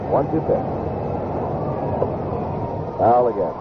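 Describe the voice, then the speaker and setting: A man's voice: mid-range voice, expressive, takes it slowly. A man with an American accent calls a baseball game live as a radio play-by-play announcer. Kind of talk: live radio sports commentary. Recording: noisy 1970s radio baseball broadcast, phone-like. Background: stadium crowd ambience and noise.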